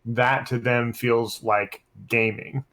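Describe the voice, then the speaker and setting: A man's voice: mid-range voice, fairly even intonation, slow, sharp tone. Male podcast game master, mid-range voice, chatty and rambling, swears freely in loose conversation. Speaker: works at a school. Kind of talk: casual group discussion of tabletop gaming.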